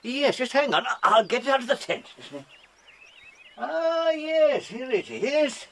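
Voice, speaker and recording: An actor playing an amiable, absent-minded naturalist in a children's audio drama, polite and a little distracted. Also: male voice, extremely high pitch, expressive, a little slow, heavily acted. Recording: noisy old cassette tape.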